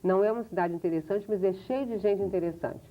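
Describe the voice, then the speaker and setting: A woman from Minas Gerais with a Minas accent, hosting a TV interview and asking chatty follow-up questions. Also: mid-range voice. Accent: Brazilian Portuguese, Minas Gerais. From Minas Gerais, Brazil. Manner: talkative, quick follow-up questions. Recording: thin phone-like TV audio.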